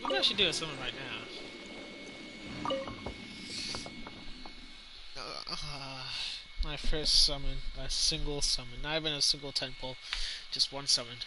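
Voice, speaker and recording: A man's voice: medium pitch, lively intonation, takes it slowly, long pauses, heavy background noise. A man giving casual live commentary as he streams a video game, half talking to himself. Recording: loud game music behind.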